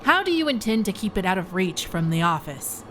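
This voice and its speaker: A woman's voice: mid-range voice, big pitch swings, a little fast, a bit bright, a little hiss or hum. A woman playing a blunt, sceptical crew member in a sci-fi audio drama. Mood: sceptical, probing.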